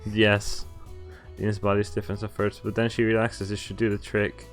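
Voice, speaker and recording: A man's voice: lowish voice, completely flat delivery, normal speed. A man streaming a game, reading visual novel text aloud and reacting casually. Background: game music.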